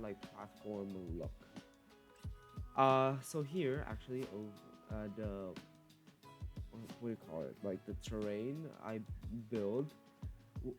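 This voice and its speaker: Stuffy-voiced man rambling through a build. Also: mid-range voice. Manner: rambling, self-correcting, chatty with viewers. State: stuffy nose from a cold. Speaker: game builder commentating his build. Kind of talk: casual gameplay commentary. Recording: faint music behind voice.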